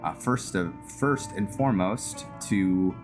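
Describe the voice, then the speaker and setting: A man's voice: deep voice, takes it slowly. A man hosting a gaming video with casual roleplay commentary, thinking aloud as he goes. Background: music.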